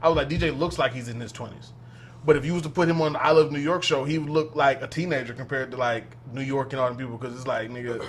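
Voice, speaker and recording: A man's voice: medium pitch, fast talker, a little hiss or hum. A man in his twenties chatting on a podcast, telling stories with lots of laughter. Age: 20s. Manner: animated storytelling, laughs often. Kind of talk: casual podcast chat.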